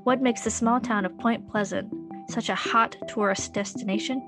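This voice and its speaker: A woman's voice: fairly high pitch, steady tone, moderate pace. A woman narrating a scripted audio documentary in a wry, conversational storytelling manner. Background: music underscore.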